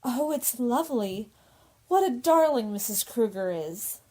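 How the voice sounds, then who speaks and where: A woman's voice: on the high side, expressive, unhurried, dark tone. A woman acting a sweet, vibrant young woman in love in a theatrical radio play. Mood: delighted.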